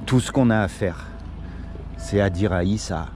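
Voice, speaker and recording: Man from morocco, street evangelist, mellow voice, earnest pleading tone, outdoors with faint music. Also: on the low side, moderate pace. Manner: earnest and pleading, uses many everyday examples. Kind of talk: casual one-on-one street preaching.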